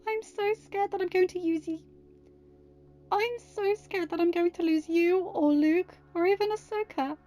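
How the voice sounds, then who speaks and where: A woman voicing a frightened, devoted young wife in a story reading, high, strained and emotional. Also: unhurried, warm tone, faint music behind. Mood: sobbing, fearful. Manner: emotional, pleading. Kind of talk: dramatised audiobook reading.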